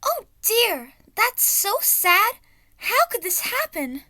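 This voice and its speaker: A young woman acts out a little girl with a very high, swooping voice, recorded close and dry. She is sing-song and sweet on top, surly underneath, in an exaggerated comic radio-play style. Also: very animated, slow, pushed and bright, no room sound, heavily acted. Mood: sugary, feigned innocence. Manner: sing-song, exaggerated politeness slipping into surliness. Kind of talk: student radio play acting.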